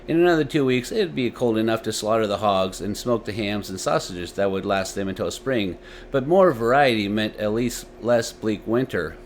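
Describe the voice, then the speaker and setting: A man's voice: lowish voice, moderate pace. Male storyteller with some background noise. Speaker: host and storyteller of a story series. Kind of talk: dramatic story narration. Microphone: desktop USB microphone.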